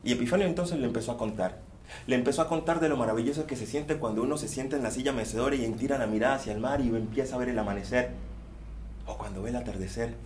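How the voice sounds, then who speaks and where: A man performing a folktale on stage, speaking rhythmically and repeating phrases, often sinking to hushed tones. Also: medium pitch, quick pace, a little hiss or hum. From Colombia. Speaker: storyteller. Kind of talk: theatrical oral storytelling. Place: dark theater stage.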